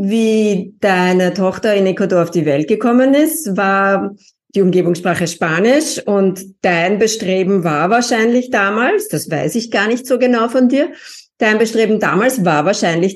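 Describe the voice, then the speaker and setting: Female host-interviewer, mid pitch; warmly affirming, conversational questions. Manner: engaged, affirming listener. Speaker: interviewer, linguist.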